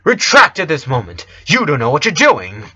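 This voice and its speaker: Man putting on a haughty, scornful countess, high-pitched. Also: huge pitch swings, normal speed, pushed and bright, breathy edge, highs cut off, full character voice. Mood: indignant. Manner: contemptuous, taunting. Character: haughty, scornful countess, sinister inner tormentor. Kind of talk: storybook character reading.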